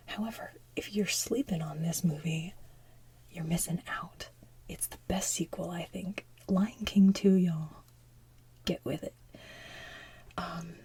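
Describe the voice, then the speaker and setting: A woman chatting casually in a soft whisper as the host of an ASMR video. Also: on the low side, recorded from a distance.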